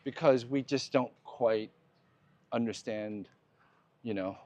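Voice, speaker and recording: A man's voice: mid-range voice, slow pace, darkish tone, no room sound. Thoughtful man in his fifties. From United States. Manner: thoughtful, laughs easily, restarts phrases. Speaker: host. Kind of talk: casual conversation while walking. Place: outdoors on a walk.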